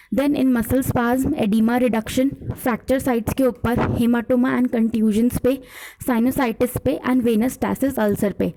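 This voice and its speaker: A woman's voice: a little high, mellow, rough recording. A woman with an Indian accent teaching an exam revision lesson, explaining point by point and often checking in with listeners. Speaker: physiotherapy teacher. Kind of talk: exam revision lecture mixing scripted points with casual explanation.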